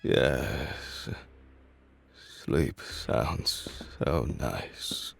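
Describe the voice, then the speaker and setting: A man's voice: extremely slow, soft background music, heavily acted. A man playing a drained, prematurely aged man in an audio drama, drowsy and weary, dragging out his words. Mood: dazed, drowsy. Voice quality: very deep, gravelly.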